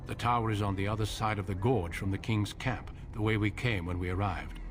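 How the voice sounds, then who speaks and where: An actor playing a stern, solemn veteran commander of a warrior order in a fantasy video game, speaking with measured authority. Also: male voice, fairly low pitch, fairly even intonation, noisy background, lightly acted. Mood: grave, commanding.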